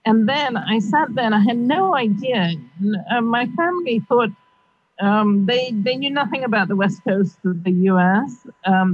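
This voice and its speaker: A middle-aged woman from Kenya, a university teacher, answering interview questions over a video call, thoughtful and halting, with many fillers and frequent laughs. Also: mid-range voice, slightly rough, no echo, phone-quality sound.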